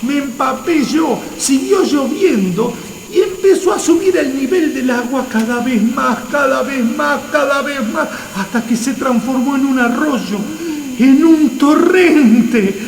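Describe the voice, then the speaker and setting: A very high-pitched aggrieved invisible man. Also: measured pace, vocal fry, exaggerated voice. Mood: mounting panic. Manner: dramatic, complaining, emphatic. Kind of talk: radio theatre acting. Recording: echoey, slightly noisy room.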